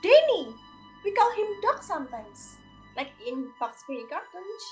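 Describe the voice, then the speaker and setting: A woman playing a polite, expressive wife and mother in a readers theater drama. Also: very high-pitched, measured pace, faint music behind, voice pushed up, exaggerated voice. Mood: puzzled. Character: wife and mother, impressed and friendly.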